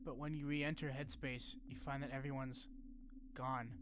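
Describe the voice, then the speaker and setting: A man's voice: medium pitch, flat delivery, normal speed, noisy background. A man narrating a scripted but casual game review, with informal wry asides and some swearing. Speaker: video essay narrator.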